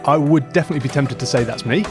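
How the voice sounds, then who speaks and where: A man's voice: mid-range voice, rapid-fire, music in the background. A man hosting a podcast, chatting informally and asking loosely phrased questions. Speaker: podcast host. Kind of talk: casual interview chat.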